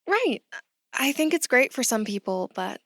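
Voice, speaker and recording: High-pitched young woman, earnest bewildered recruit, casual, plain-spoken, dry studio sound, audio drama. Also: sing-song, a little slow, a bit bright, no room sound, exaggerated voice. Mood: polite, eager. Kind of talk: naturalistic audio drama acting.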